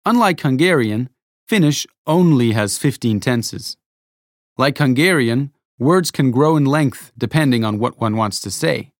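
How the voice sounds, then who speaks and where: A man reading a scripted educational narration, explaining clearly and adding rhetorical asides. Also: medium pitch, lively intonation, unhurried, dry recording, studio quality.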